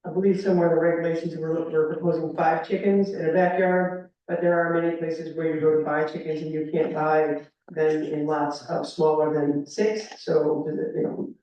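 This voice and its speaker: A man's voice: on the high side, flat delivery, moderate pace, few pauses. A man giving informal public comment as a resident at a town meeting. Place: indoor public meeting room. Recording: very echoey meeting room, distant muffled mic.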